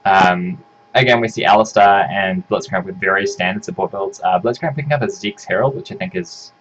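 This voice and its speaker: A man with a croaky voice talking through a game analysis, rambling and correcting himself often. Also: low-pitched, brisk, fairly bright tone, highs cut off. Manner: rambling, self-correcting, frequent ums and "right?". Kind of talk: casual solo game analysis.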